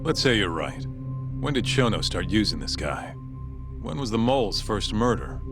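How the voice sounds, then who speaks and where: A man acting a gruff, questioning character in a video game crime drama, with a clipped, probing manner. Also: lowish voice, very animated, normal speed. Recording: music behind the voice.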